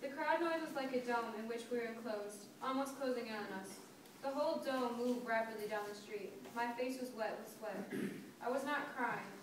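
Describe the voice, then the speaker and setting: A shaken young local marcher tells of familiar streets turned hostile read by a young woman. The voice is a little high and distant, in heavy echo with clear background noise. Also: normal speed, lightly acted. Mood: shaken, reflective. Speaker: student performer in a dramatic reading. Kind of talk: dramatic reading of poetic narrative. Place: large echoey indoor room, distant mic. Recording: distant, very echoey hall with noise.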